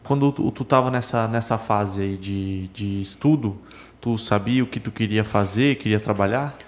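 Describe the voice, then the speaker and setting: A man co-hosting a podcast, asking relaxed, informal follow-up questions. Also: fairly low pitch, normal speed. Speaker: podcast co-host. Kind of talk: casual podcast interview.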